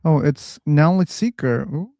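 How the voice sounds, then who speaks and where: A man hosting a casual live online discussion room, chatty and quick to laugh. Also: on the high side, dry recording, studio quality. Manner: relaxed, chatty, laughs easily. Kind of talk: casual live-stream chat.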